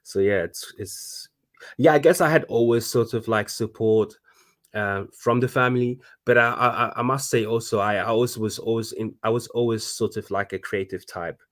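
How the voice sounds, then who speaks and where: Animated man with a low voice. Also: moderate pace. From Congo (DRC). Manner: animated, with frequent restarts. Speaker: guest, fashion designer. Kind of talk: casual conversation.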